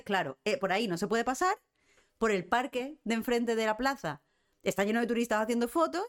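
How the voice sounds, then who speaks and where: A woman with a Spain Spanish accent, hosting a live stream with casual, ranting chat in a blunt, sarcastic, sweary manner. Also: on the high side. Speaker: host of a live cultural current-affairs show.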